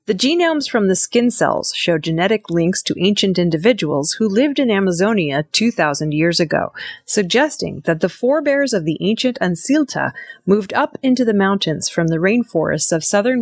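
A woman presenting scripted news in a measured, formal manner. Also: mid-range voice, no room sound.

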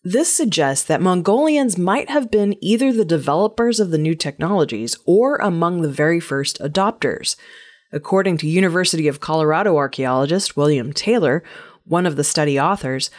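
Low-pitched woman reading scripted news. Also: animated, normal speed, a little mellow. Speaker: news presenter.

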